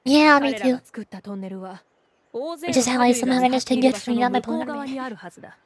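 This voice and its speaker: A woman's voice: sits high, level tone, normal speed, crowd noise. A woman streamer giving casual, chatty and playful commentary while playing a video game. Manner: playful, chatty, with silly sound effects. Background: game music.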